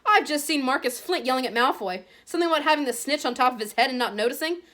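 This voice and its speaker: A woman voicing an excited, joking teenage boy, talking quickly. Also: high-pitched, voice pushed up. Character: excited teen boy, sporty joker.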